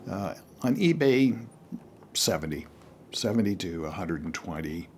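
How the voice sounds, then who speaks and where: Older male hobbyist, deep and slow, explaining things casually and haltingly. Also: lively intonation, darkish tone, slight background noise. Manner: halting, rambling, with frequent pauses. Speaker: amateur radio hobbyist giving advice to beginners. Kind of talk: casual unscripted how-to talk.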